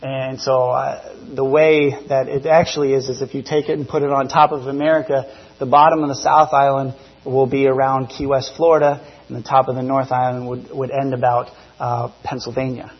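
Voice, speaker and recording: A man in his thirties from New Zealand gives an informal church testimony, conversational and halting, often correcting himself. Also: mid-range voice, flat delivery, dull audio. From grew up in New Zealand. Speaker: missionary giving a church presentation. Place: church, speaking to a congregation. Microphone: church PA microphone.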